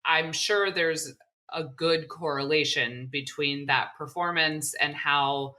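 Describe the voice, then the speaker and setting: Low-pitched, bright-voiced woman host. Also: fairly even intonation, slow. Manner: friendly, with many fillers. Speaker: podcast host. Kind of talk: podcast interview.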